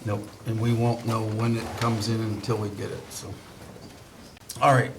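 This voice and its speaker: Man chairing, brisk and casual, noise audible behind him. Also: fairly low pitch, level tone, distant mic. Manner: brisk, informal. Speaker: meeting chair. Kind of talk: chairing a meeting.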